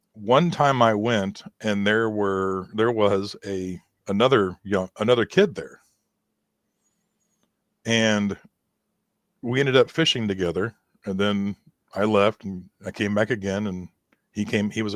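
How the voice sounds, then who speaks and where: A man with a low voice talks as a guest on a casual show. He wanders through his stories with restarts and chuckles at himself often. The recording is close and dry, with no room sound. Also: no room sound. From Irish heritage. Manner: rambling, laughs often, self-deprecating. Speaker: guest musician. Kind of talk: casual interview chat.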